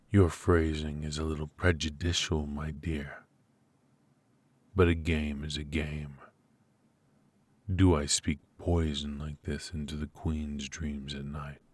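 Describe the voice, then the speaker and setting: A man playing a dark warlord sorcerer villain in an ASMR fantasy roleplay, commanding and coolly mocking, speaking in slow, silky, formal taunts with a husky, breathy voice. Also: rumbling low, dry recording, exaggerated voice. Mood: smug, teasing.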